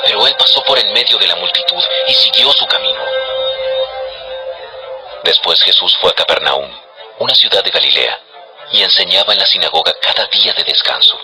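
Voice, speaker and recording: A man's voice: deep voice, pushed and bright. A man narrating a dramatized Bible story from a script.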